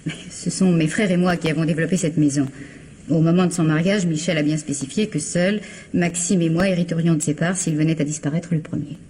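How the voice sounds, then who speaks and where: A woman's voice: low-pitched, fairly even intonation, brisk, warm tone, slight background noise, close to an everyday voice. A woman playing a guarded, formal, evasive sister of a victim in a radio mystery drama. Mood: defensive.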